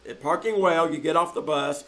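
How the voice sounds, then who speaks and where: A man playing an excitable, easily exasperated newspaper editor with a stammering, emphatic 1940s radio comedy delivery. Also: a little high, a little fast, close to an everyday voice. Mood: fussy, insistent.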